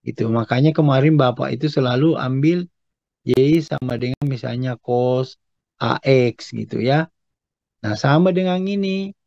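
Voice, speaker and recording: A man's voice: mid-range voice, measured pace, vocal fry, a little mellow. A man giving a step-by-step maths lesson as a lecturer, patiently explanatory and often checking that students follow. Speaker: mathematics lecturer. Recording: echoey room, dull muffled sound.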